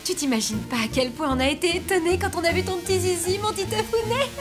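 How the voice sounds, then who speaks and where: Very high-pitched, gushing mom. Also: female voice, fast, music in the background. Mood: fondly nostalgic. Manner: gushing, sentimental. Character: doting, giggly, excitable cartoon mother. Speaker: mother. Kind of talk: French animated series dialogue.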